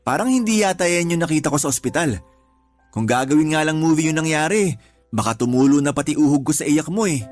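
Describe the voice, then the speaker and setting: A man playing a devoted, supportive younger brother in a radio drama, conversational, reassuring and given to gentle teasing. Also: fairly high pitch, animated, fast talker, natural voice. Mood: light, teasing. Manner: earnest, reassuring, lightly teasing. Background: background music.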